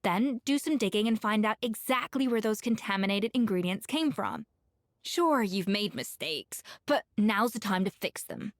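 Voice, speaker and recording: A woman voicing a confident female head chef with dry, withering wit and a calm, commanding manner. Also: mid-range voice, normal speed, no room sound, close to an everyday voice. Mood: composed. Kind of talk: game voice acting. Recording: game audio with soft music.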